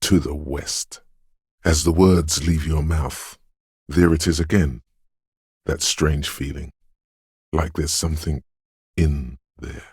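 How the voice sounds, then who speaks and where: A man voicing the eerie, brooding inner voice of a detective's intuition in a video game, with slow, ominous, dreamlike narration and a husky, gravelly quality. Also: rumbling low, fairly even intonation, a little mellow, no echo, studio quality, heavily acted. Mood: brooding, mysterious. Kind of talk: scripted video game narration in second person.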